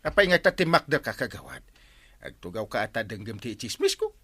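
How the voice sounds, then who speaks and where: A man's voice: mid-range voice, wildly swooping pitch, a little fast, sharp tone, husky, no room sound, full character voice. A man with an Ilocano accent playing an easygoing, hesitant, gossip-loving village captain in a radio comedy drama. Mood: eager, insistent.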